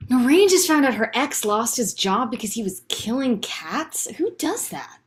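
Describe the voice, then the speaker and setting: A woman's voice: a little high, very animated, moderate pace. A woman reading the part of a frank, no-nonsense wife and mother in a conversational comedy table read. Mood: incredulous, blunt.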